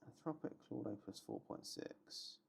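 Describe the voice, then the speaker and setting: A man thinking aloud while he codes, muttering half-finished remarks to himself. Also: normal speed. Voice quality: low, gravelly voice. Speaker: solo coding streamer.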